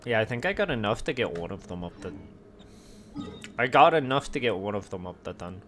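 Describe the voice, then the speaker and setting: Low-voiced man muttering through a game stream. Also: big pitch swings, a little fast, a bit bright, a little hiss or hum. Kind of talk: casual gameplay commentary. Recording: game music behind. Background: video game music and effects.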